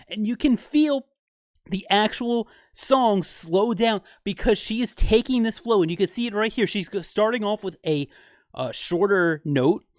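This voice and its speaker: A man breaking down music in an enthusiastic, explanatory way for a video, with fillers and quick asides. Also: sits high, no room sound, clean studio sound. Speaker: video commentator.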